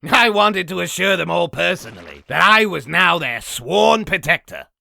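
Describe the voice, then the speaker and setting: A man playing a sinister, boastful old demon in a comic dub, telling tales in a raspy, gravelly, theatrical voice. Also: high voice, very animated, normal speed, sharp tone, no room sound, full character voice. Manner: theatrical, grandiose storytelling. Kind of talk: comic dub villain acting.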